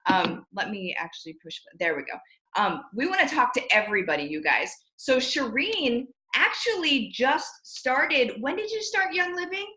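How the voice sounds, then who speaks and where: Bright-voiced woman host in an echoey room. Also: high-pitched, sing-song, moderate pace. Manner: peppy, addresses viewers as "you guys". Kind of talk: casual video chat interview.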